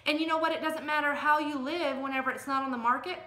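A woman's voice: sits high, steady tone, fast talker, sharp tone. A middle-aged American woman giving casual, folksy advice to camera as a real estate agent, speaking emphatically and plainly. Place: small furnished room at home or office, indoors. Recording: echoey room sound.

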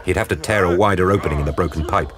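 A man giving a grave, measured, dramatic narration for an audio drama. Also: low-pitched, crowd noise. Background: dramatic music.

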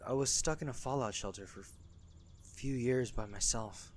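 A man playing a weary, guilt-ridden fallout survivor in an animated film, speaking in a subdued, hesitant way. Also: medium pitch, moderate pace, slight background noise, natural voice. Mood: uneasy.

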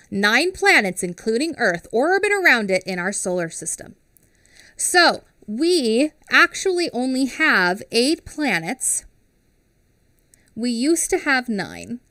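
A woman in her thirties reading a picture book aloud to children on a headset mic, pausing to explain and ask questions. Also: on the high side, no echo, clean studio sound. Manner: expressive, asks children questions. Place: small home studio with classroom backdrop.

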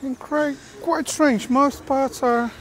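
Relaxed outdoor vlog chat, faint noise behind. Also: high voice, takes it slowly. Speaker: vlogger. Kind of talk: casual walking vlog chat. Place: outdoors walking in a snowy theme park.

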